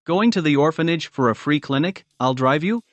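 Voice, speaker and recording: A male actor as a rich young CEO husband in a romance drama. His voice sits a little high for a man and moves with some liveliness. He is calm, teasing and devoted. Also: brisk, a little hiss or hum, lightly acted. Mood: cool, devoted.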